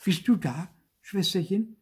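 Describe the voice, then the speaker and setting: High whiny puppet kid for a storybook, cheeky and indignant, voice jumps all over. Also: male voice, expressive, takes it slowly, mellow, husky, exaggerated voice. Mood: delighted, startled. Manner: whining, protesting, theatrical. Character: cheeky, whiny little male puppet boy, indignant wisecracker. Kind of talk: dramatised children's storybook reading.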